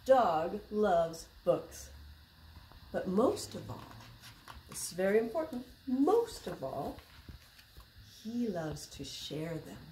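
A woman in her sixties reading a picture book aloud to children, expressive and deliberate, as a library storyteller. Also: medium pitch. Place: small public library room, indoors. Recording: roomy echo, faint background noise.

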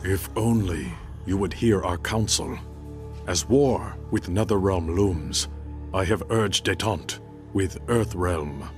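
A stern, solemn warlord from a fantasy game. His voice is deep and his delivery slow and measured. He sounds formal and resolute, with light music behind him. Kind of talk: dramatic fantasy game acting.

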